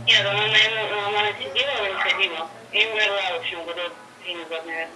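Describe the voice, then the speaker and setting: A woman on a telephone call, giving a halting personal account in everyday conversational speech. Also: medium pitch, fairly even intonation, a loud crowd. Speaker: caller telling her personal story. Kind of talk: hesitant personal account over the phone. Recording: distant telephone line with faint background voices.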